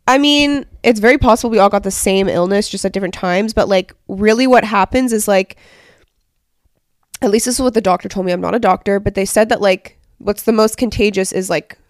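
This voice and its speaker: A woman's voice: mid-range voice, brisk, no echo, studio quality. Congested woman chatting on a podcast. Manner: chatty, rambling with many "like" fillers. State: congested from a cold. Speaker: podcast co-host. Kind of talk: casual chat.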